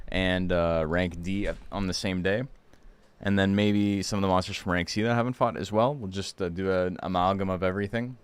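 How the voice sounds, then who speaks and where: Man with a very low voice, hoarse, smooth resonant tone, loose rambling stream chat. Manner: rambling, relaxed, full of fillers. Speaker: streamer and tournament host. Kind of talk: casual livestream chat.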